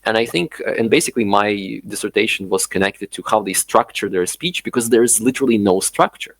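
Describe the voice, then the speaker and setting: A man's voice: lowish voice, a little fast, dry recording. A man whose first language is Russian, talking casually as an interview guest, with many fillers and restarts. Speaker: guest, linguistics professor. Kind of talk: casual conversation.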